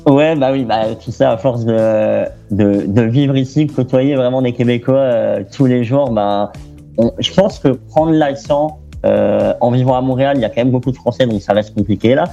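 A young man from France, a guest talking casually in an interview, relaxed and chatty with lots of fillers and restarts. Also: mid-range voice, moderate pace, creaky, lo-fi sound, soft background music.

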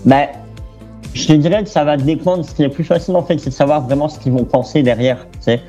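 A young man from France, a guest talking casually in an interview, relaxed and chatty with lots of fillers and restarts. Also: a little high, fast, faint music behind.

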